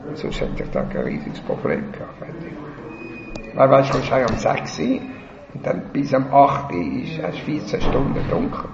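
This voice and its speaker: A man leading a religious text study discussion, repeating words in stammering bursts and reciting passages rapidly. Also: mid-range voice, big pitch swings, vocal fry, noisy background. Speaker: study session leader. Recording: roomy, dull recording with people around.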